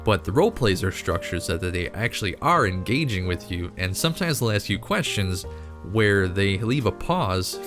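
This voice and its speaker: A man with a fairly low voice talks casually as the host of an online video. He rambles and restarts his sentences in a joking, incredulous way, while soft guitar music plays faintly behind him. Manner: rambling, incredulous joking with frequent self-interruption. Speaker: YouTube cartoon channel host. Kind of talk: casual chatty commentary.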